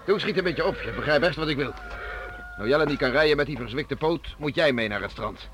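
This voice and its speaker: An actor playing a stern, impatient father in a radio drama, speaking in a gruff, commanding way. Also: on the high side, animated, fairly quick, over music, natural voice. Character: stern, bossy father of a teenage son, island beachcomber. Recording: faint background noise on old radio recording.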